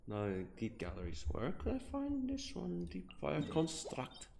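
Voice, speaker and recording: A man giving casual live gaming commentary, thinking aloud haltingly and repeating his phrases. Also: on the high side, huge pitch swings, gravelly, a little mellow, a little hiss or hum. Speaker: game streamer. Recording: roomy echo, faint game music behind.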